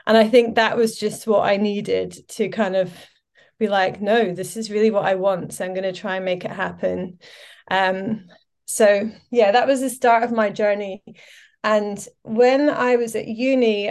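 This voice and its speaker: A woman's voice: mid-range voice, steady tone, measured pace, a little mellow. A Scottish woman in her forties, a podcast guest chatting casually and telling her story with lots of fillers. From Scotland. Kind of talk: casual interview chat.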